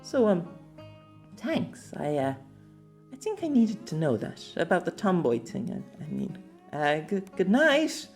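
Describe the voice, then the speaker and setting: Male reader as bashful tomboy girl, high squeaky voice, stammering, audiobook character, music underneath. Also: huge pitch swings, a little slow, full character voice. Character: flustered shy tomboyish schoolgirl, happy-natured, bashful.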